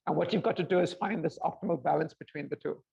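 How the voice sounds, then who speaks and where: A man in his fifties, a guest on a video call, speaking reflectively and with lively gestures. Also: fairly high pitch, quick pace, vocal fry, husky. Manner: reflective, gestures a lot while talking. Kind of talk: casual video-call conversation. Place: home room with bookshelves. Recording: slightly dull lo-fi call audio.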